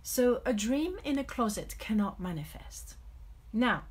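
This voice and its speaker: A middle-aged French woman speaking English with a French accent, giving a candid, reflective motivational talk with personal stories, often correcting herself, in a husky voice. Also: fairly high pitch, sing-song, measured pace. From France. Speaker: motivational coach hosting a live stream.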